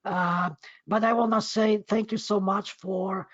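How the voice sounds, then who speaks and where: A man with a Polish accent speaks conversationally and effusively to an online audience, haltingly and often repeating words. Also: high-pitched, fairly even intonation, fairly bright tone, dry recording. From Poland. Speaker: guest speaker, magazine editor. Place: online video meeting. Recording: muffled lo-fi online call.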